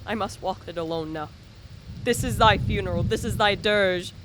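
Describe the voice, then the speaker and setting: A woman's voice: medium pitch, normal speed, background noise, close to an everyday voice. A woman playing an anguished, guilt-ridden young man in a radio play, earnest and formally dramatic. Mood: sombre.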